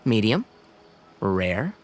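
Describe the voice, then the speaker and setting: An actor playing a genial, easygoing young man in a video game, considerate and gently teasing. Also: lowish voice, sing-song, very slow, a little hiss or hum, exaggerated voice.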